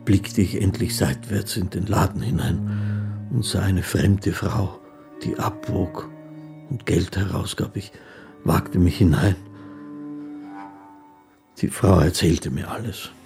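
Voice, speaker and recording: An actor playing a melancholy, naive poor fiddler in a radio drama, speaking in a halting, subdued way as he recalls his lost love. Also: sits low, steady tone, creaky, a little mellow, faint music behind, exaggerated voice. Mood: sorrowful, resigned. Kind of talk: radio drama first-person narration.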